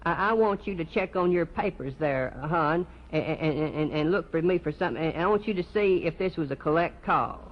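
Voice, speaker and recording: A man's voice: a little high, brisk, hardly any pauses, close to an everyday voice. A man playing a folksy, sheepish churchgoer in a one-sided comic phone monologue, stammering, repeating himself and eagerly agreeing. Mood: flustered. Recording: dull lo-fi live recording, loud noise.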